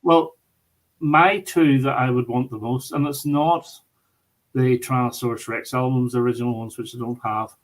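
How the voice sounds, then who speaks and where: A man's voice: mid-range voice, fairly even intonation, normal speed, poor audio. A man in a casual chat about record collecting, speaking in an opinionated, emphatic way.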